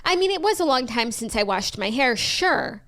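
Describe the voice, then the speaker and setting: A woman co-hosting a casual morning talk show, coming down with a cold and wryly grumbling about a rough morning. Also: fairly high pitch, big pitch swings, normal speed, fairly bright tone. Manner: chatty, grumpy and wry about a rough morning. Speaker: podcast co-host. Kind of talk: casual chat.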